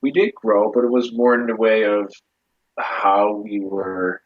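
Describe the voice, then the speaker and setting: Low-voiced hesitant man, dull lo-fi sound. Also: steady tone, a little slow. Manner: hesitant, many restarts and fillers. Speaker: guest. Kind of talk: casual conversation.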